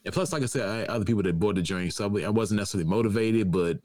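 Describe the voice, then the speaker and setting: A man's voice: lowish voice, rapid-fire, darkish tone. A middle-aged American man on a podcast panel chatting casually in slangy speech full of repeated verbal tags. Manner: slangy, peppered with "you know what I'm saying". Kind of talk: casual chat.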